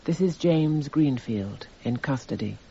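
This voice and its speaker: Radio drama: clinical evidence narrator, dark husky voice, detached, narrow tinny recording. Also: male voice, mid-range voice, expressive, measured pace, heavily acted. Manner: precise, detached, descriptive. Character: detached male court narrator cataloguing evidence. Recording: thin, phone-like narrow band.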